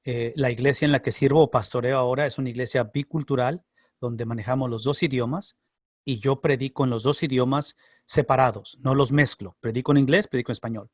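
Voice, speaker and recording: A man's voice: mid-range voice, dry recording, clean studio sound. A man who is a pastor and Bible teacher, answering class questions in an explanatory way, repeating phrases for emphasis. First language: Spanish.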